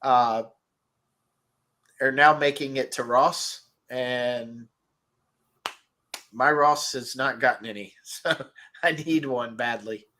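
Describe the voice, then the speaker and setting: A man hosting a relaxed stream, chatting and reading viewer comments, his voice nasal and stuffed up. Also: mid-range voice, slow pace, long pauses. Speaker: host. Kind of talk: casual livestream chat about toys.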